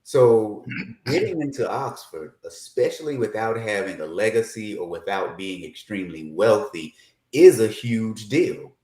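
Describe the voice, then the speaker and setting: Brief: man, podcast host, lowish voice, friendly interviewer, roomy echo. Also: lively intonation, a little slow, darkish tone. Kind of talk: interviewer questions.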